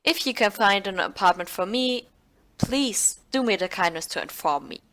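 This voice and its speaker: A woman plays a sardonic female clerk at a rental agency, mid-pitched and offhand. She is dry and blunt, in audio drama style. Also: lively intonation, normal speed, lightly acted. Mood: sarcastic. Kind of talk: audio play acting.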